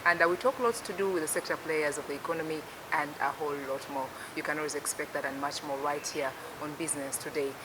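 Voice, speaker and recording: A woman's voice: sits low, fast talker, few pauses. Chatty woman hosting a TV show. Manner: chatty, filler-heavy, upbeat. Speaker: TV business show host. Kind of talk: broadcast interview hosting. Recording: noisy recording with steady hiss.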